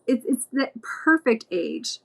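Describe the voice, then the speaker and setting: Slow-talking woman cheering folks on in a podcast chat, lots of mm-hmms. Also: sits high, big pitch swings, gravelly, fairly bright tone, slightly rough. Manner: encouraging, frequent affirming murmurs. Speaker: podcast guest. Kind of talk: casual group chat.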